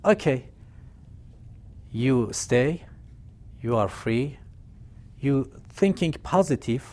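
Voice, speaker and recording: Slow Persian-accented man, earnest. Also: medium pitch, lively intonation, a little mellow. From Iran. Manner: slow, earnest, simple phrasing. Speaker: healer guest. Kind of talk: halting address to viewers in broken English.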